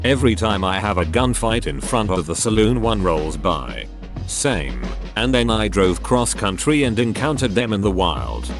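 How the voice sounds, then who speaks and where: Low-voiced male narrator with lively intonation. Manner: steady read-aloud delivery, switching between many first-person posts. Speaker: narrator reading online forum posts. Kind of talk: scripted narration. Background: faint background music.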